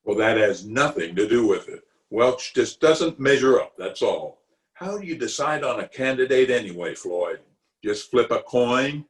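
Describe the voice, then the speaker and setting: A man's voice: mid-range voice, lively intonation, normal speed, reverberant, natural voice. A man playing a pompous, easily exasperated middle-aged official in an old-time radio comedy, recorded at home over a video call. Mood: insistent. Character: blustery, exasperated middle-aged male water commissioner, pompous and opinionated.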